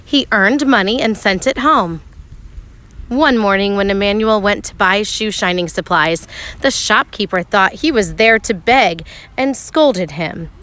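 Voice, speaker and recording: A woman narrating a children's storybook aloud, expressively, with measured phrasing and dramatic pauses for emphasis. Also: medium pitch, a bit bright. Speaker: teacher reading a picture book aloud.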